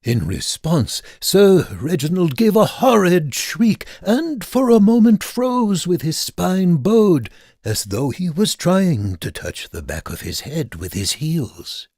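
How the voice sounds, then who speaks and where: Male narrator for comic audio fiction: ornate, mock-grave, lively intonation, dry sound. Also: sits high, takes it slowly, no echo. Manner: ornate, dramatic, mock-serious. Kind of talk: theatrical scripted comic story narration.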